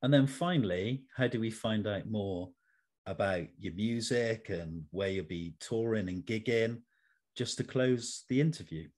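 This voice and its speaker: Middle aged guy interviewing someone, lowish voice, polite and measured, a bit formal. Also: darkish tone. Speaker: interview host. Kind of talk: conversational interview.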